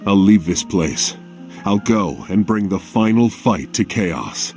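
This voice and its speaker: An earnest game hero with a deep voice. He speaks slowly and solemnly, calm and resolute, with faint music behind. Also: full character voice. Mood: determined. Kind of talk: dramatic video game voice acting.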